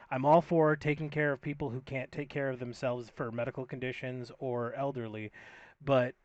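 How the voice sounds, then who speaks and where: Laid-back guy hosting a podcast, stumbles into sentences, flat dry sound, a bit muffled. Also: medium pitch, no room sound. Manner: easygoing, agreeable, stumbles at starts. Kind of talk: casual podcast chat. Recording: dull dry lo-fi audio.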